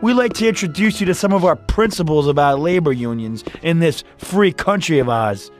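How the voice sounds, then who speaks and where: A man's voice: on the high side, expressive, normal speed, lightly acted. A man playing an arrogant, cocky gangster with mockingly polite manners in a video game. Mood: smugly mocking. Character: arrogant male gangster, younger brother of a boss. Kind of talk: dramatic video game acting. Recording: faint music behind the voice.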